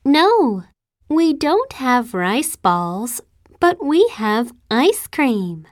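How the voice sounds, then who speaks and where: A woman voicing a cheerful young schoolgirl from Italy, in a slow, clearly articulated listening-test dialogue. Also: high voice, sing-song, no echo, studio quality, full character voice.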